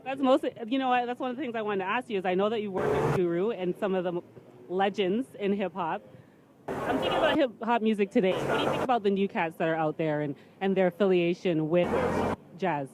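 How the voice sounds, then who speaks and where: A woman's voice: medium pitch, fast talker, very noisy. A woman hosting a casual on-location interview in an informal, slangy way. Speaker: show host, interviewer. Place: at a city jazz festival. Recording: loud music in the background.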